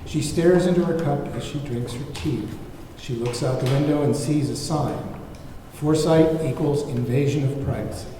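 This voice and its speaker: A man's voice: on the high side, normal speed, dark tone. A man reading screenplay stage directions as narrator at a table read. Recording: very echoey hall, distant mic, faint noise.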